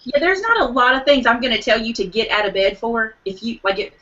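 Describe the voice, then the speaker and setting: Eager woman host, bright, slightly high, losing her voice, lo-fi stream. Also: very animated, brisk. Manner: enthusiastic deal announcer. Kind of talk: live online craft show chat.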